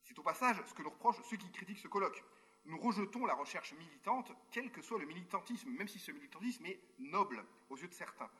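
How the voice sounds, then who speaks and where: Fast, bright-voiced French academic man. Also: high-pitched, expressive. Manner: formal written phrasing with spoken asides and hesitations. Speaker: university researcher giving a conference talk. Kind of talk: reading a prepared formal talk.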